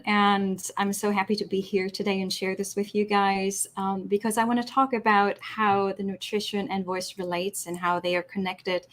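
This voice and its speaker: A woman voice expert guest on a podcast, explaining in a clear, instructive way. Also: medium pitch, fairly bright tone.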